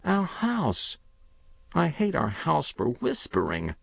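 An eager, anxious young boy, as a man puts him on in a storybook reading, raised well above the reader's own pitch. He speaks earnestly and pleadingly, with a lively, rising lilt and a slow, careful pace. The sound is dull and dry, like a lo-fi home recording. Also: creaky, no echo, heavily acted.

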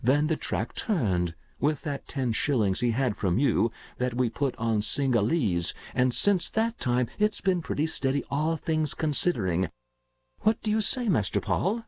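A man's voice: mid-range voice, very animated, measured pace, no room sound, dull audio, voice pushed up. A man reads as a loyal storybook servant, respectful and confiding. The speech lilts up and down with a secretive reverence, in a dry, close recording. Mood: chatty, deferential. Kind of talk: storybook character reading.

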